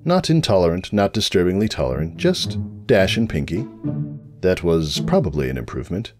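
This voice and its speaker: Animated man narrating a comic story over music. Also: low voice, moderate pace. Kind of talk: dramatic comic story reading.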